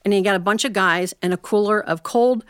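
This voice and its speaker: An American woman storyteller from Ohio telling a tale live to listeners, in an animated, folksy way with dramatic pauses and asides. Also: medium pitch, noisy background. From Ohio, United States.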